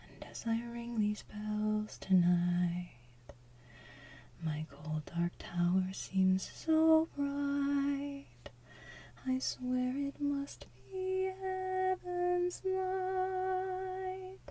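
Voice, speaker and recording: A woman doing ASMR, singing and speaking in a soft, breathy, hushed whisper with a gentle delivery. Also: high-pitched, fairly even intonation, very slow. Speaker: ASMR channel host. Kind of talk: ASMR soft singing of animated film villain songs.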